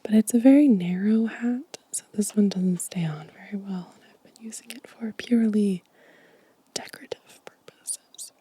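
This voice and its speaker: A woman speaking in a soft, breathy whisper, gently and intimately, as in an ASMR show and tell close to the microphone. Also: mid-range voice, normal speed. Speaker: host of an ASMR channel.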